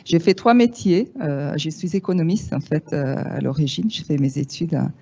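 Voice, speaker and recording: Italian woman, 50s, addressing an audience, lively and candid, warm low-lit timbre, lo-fi sound. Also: normal speed, vocal fry, husky. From Italy. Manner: lively, frank, wry asides. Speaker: speaker at a panel. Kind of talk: talk to an audience. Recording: dull lo-fi sound.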